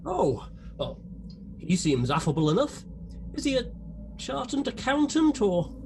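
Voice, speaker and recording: A man playing a dry, befuddled gentleman detective in a comic radio drama, hesitant and theatrical. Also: extremely high pitch, sing-song, a little slow, creaky, background noise, full character voice. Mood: puzzled.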